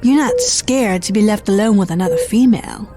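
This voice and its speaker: A woman's voice: medium pitch, fairly quick, over music, close to an everyday voice. A woman playing a doctor who mocks and defies her captor. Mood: defiant. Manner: mocking and defiant. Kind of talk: indie audio drama acting.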